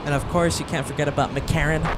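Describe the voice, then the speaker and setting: A man's voice: fairly high pitch, steady tone, quick pace. A man hosting a live plane spotting stream outdoors beside an airport runway, with enthusiastic sportscast-style commentary. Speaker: plane spotting livestream host. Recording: outdoor feed with clear traffic noise.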